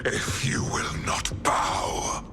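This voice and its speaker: An actor playing an imperious, menacing tyrant god in a video game, drawing out threats between whisper and shout. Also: drawn-out words, over music. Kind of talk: villain acting in video game cutscene.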